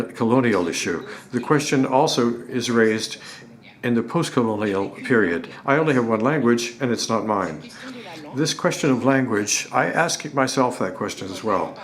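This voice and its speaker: A man giving a live interpretation of a lecture in a halting delivery, often restarting and repeating words as he goes. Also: medium pitch, expressive.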